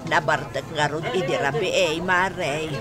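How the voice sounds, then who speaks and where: A woman's voice: low-pitched, steady tone, measured pace. An actress playing a meek, long-suffering older mother in a radio drama, plaintive and pleading. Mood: weary, sad. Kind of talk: Ilocano radio drama acting.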